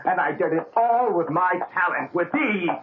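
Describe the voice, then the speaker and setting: A man's voice: high voice, animated, normal speed. An actor playing an arrogant young male pianist, boastful and bitter, in a 1970s radio drama. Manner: sneering, boastful, theatrical swings. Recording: noisy, tinny old radio broadcast.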